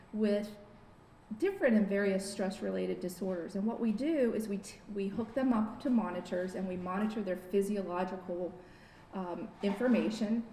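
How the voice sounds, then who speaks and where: A middle-aged woman who works as a biofeedback therapist, giving a friendly, informal wellness talk with slides at a podium microphone in a conference room, with many fillers and restarts. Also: mellow. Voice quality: says she has a very low voice. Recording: roomy hall, faint noise.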